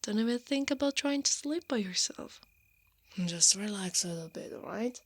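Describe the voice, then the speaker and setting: A woman playing a brave, protective female knight in an intimate ASMR roleplay, softly and often in a breathy whisper. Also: mid-range voice, expressive. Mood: teasing, dominant. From Italy. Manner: slow, intimate, hushed delivery.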